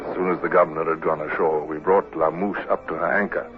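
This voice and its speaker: A man's voice: very deep voice, fairly even intonation, quick pace, loud music behind the voice. An actor playing an earnest young British naval captain who tells his tale in a radio drama, a little hesitant. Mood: earnest recounting. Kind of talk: first-person radio drama narration. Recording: noisy, tinny old radio recording.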